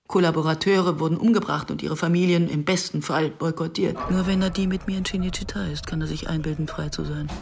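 A woman playing a sober, questioning film director who narrates her memories in a measured, observant way for a radio drama. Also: sits low, steady tone, vocal fry, crowd noise. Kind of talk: literary first-person radio drama narration.

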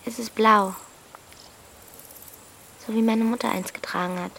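A woman's voice: fairly high pitch, fairly even intonation, unhurried, creaky, a bit bright, slight background noise. A woman playing a bold, defiant thirteen-year-old village girl, blunt and impatient, in a radio drama.

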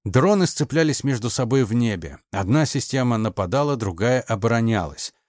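Мужчина-чтец, сухая запись. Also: male voice, medium pitch, lively intonation, normal speed, a little mellow, dry recording, studio quality. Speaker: audiobook narrator. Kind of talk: scripted dramatic narration.

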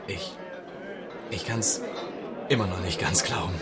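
A young actor in a radio play voicing an ambitious young stage actor who talks hesitantly and gossips slyly. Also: male voice, sits low, lively intonation, a little slow, a loud crowd. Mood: cagey, gossiping. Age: young adult.

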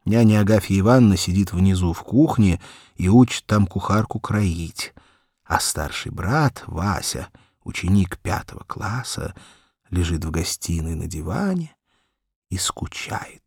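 A man narrating a children's story as an audiobook, reading expressively in deliberate, measured phrases. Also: deep voice, expressive, a little mellow, slightly rough, dry recording, clean studio sound.

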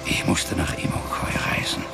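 An actor playing an ancient, solemn wizard father in a fantasy radio drama, grave and measured, with a breathy half-whispering voice. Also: natural voice. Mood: grave, recounting. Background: background music.

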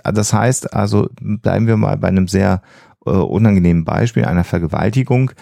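A man explaining psychology on a podcast in a measured way, hesitating as he searches for precise wording. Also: low-pitched, creaky, warm tone, no room sound, studio quality.

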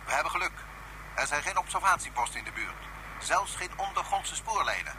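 An actor playing a respectful, methodical base radio operator in a radio drama. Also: male voice, fairly high pitch, lively intonation, fairly quick, sharp tone, a little hiss or hum, poor audio. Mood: reassuring, efficient. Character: deferential, efficient male base radio operator.

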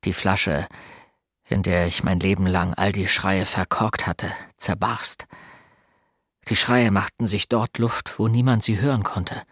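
A man playing a somber narrator who looks back on his boyhood in a radio drama, measured and grave. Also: sits low, little pitch movement, fairly bright tone, slightly rough, no echo, clean studio sound, full character voice. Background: music.

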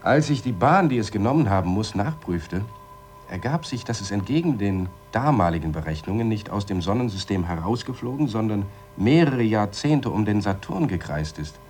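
An actor playing a matter-of-fact space lab astronaut in a radio drama, explaining in a measured, dryly sarcastic way. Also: male voice, lowish voice, lively intonation, darkish tone, faint music behind, natural voice. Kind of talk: radio drama acting, spoken report.

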